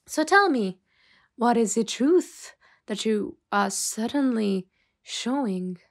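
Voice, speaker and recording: A woman playing a stern, weary boss in an ASMR roleplay, speaking in a measured way with sighs and hesitant pauses. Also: a little high, animated, exaggerated voice. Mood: cold, skeptical. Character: stern, weary female mafia boss scolding an employee. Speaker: ASMR voice actor.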